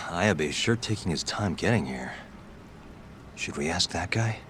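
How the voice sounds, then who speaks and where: A man's voice: sits low, animated, unhurried, a bit bright, slight background noise. An actor playing a cool, unflappable male hero in a video game, dry and understated with wry sarcasm. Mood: calm. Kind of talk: video game cutscene acting. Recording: prominent music behind the voice.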